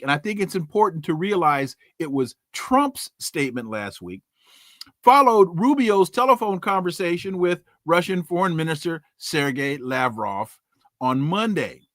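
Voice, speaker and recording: A man's voice: fairly high pitch, fairly bright tone, no echo. Emphatic male podcast host, dry sound. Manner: emphatic, rhetorical questions and folksy sayings. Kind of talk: news commentary and interview opening.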